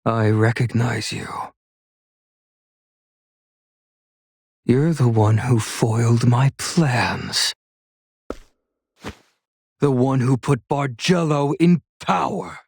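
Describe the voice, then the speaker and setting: Game villain, power-hungry lord, very slow delivery, gravelly fry, theatrical, mocking, cruel. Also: male voice, mid-range voice, a little mellow, no echo, heavily acted. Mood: smug menace. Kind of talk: dramatic video game voice acting.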